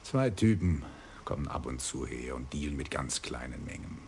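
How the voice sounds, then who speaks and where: A man's voice: extremely low pitch, expressive, normal speed, gravelly, a little mellow, a little hiss or hum, exaggerated voice. An actor playing a chatty, gossipy male nightclub doorman in a radio crime play. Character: sly male club doorman, old acquaintance of police.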